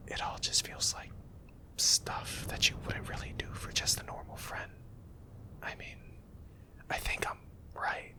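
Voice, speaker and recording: Male ASMR boyfriend voice, whispered throughout, very slow, soft and hesitant. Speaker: ASMR boyfriend roleplay narrator.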